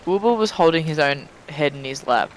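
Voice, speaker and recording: A man with a slightly high voice reads a story aloud in a dramatic but informal way. He stumbles over words and swears casually. Clear water and rain noise from a sailing game runs behind him. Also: expressive, fairly quick, poor audio. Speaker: streamer reading a story aloud. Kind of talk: dramatic reading of fan fiction mixed with casual chat.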